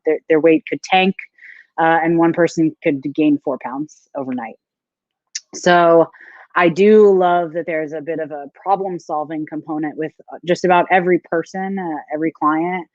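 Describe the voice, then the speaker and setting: A woman's voice: sits low, a little mellow, no room sound, lo-fi sound. A woman, a strongwoman and nutrition coach, talking thoughtfully with many fillers on a video call. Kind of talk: casual video call interview.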